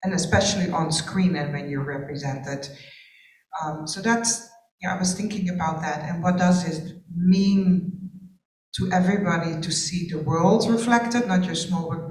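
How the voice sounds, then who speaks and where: A woman's voice: sits low, fairly even intonation, moderate pace. A woman from Curacao with Dutch as her first language, speaking reflectively on a panel, searching for words with restarts. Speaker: panel participant. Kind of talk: panel discussion. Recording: roomy sound from far off the mic.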